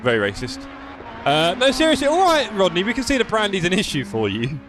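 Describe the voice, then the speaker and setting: A man's voice: high-pitched, wildly swooping pitch, brisk. A man with a London accent chatting and joking with his live stream chat while playing a racing game. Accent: London English. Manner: chatty, jokey, reads chat aloud.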